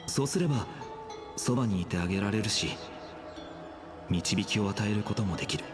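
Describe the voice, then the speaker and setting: A solemn young hero played by a man speaks of destiny in a dramatic game style. The voice is low and soft, trailing into breath, yet moves briskly over prominent music. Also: lightly acted. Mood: earnest, gentle. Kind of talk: dramatic Japanese game voice acting. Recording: game music under the dialogue.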